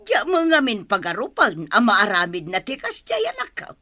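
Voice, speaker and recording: A woman playing a defensive, blaming old grandmother in a radio drama, sharp and dismissive, with an Ilocano accent. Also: a little high, wildly swooping pitch, normal speed, no echo, clean studio sound, heavily acted. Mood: defensive, scornful. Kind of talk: Filipino radio drama acting.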